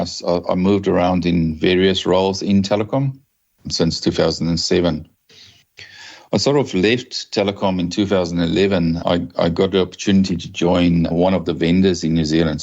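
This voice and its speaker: Deep, low South African man in his fifties, measured and steady, a little lo-fi. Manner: measured, with small restarts. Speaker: guest, telecom executive. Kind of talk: podcast interview.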